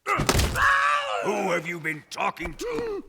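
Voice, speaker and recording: An actor playing a sadistic, menacing middle-aged Soviet interrogator in a video game, with a theatrical, taunting manner and a gravelly voice. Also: male voice, very high-pitched, wildly swooping pitch, drawn-out words, heavy background noise, exaggerated voice. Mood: furious. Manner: theatrical, taunting, swinging from hushed purr to bellowing. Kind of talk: dramatic video game acting.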